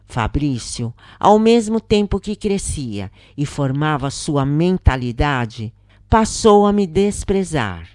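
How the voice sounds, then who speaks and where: Brazilian female narrator for a solemn audiobook read, unhurried, mellow tone. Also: low-pitched, sing-song, dry recording, studio quality. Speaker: narrator reading a spiritist account. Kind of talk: scripted first-person audiobook narration.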